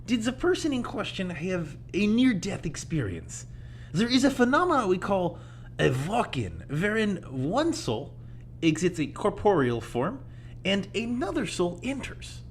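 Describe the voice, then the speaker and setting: Eccentric, high-voiced little old scholar. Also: male voice, sing-song, unhurried, a little hiss or hum, full character voice. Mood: genial, musing. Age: older. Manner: erudite, musing, theatrical. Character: eccentric little old man, genial paranormal enthusiast. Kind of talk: audio drama acting.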